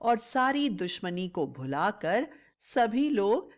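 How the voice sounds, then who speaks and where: A woman's voice: medium pitch, big pitch swings, takes it slowly. A woman narrating a scripted fairy tale as a storyteller.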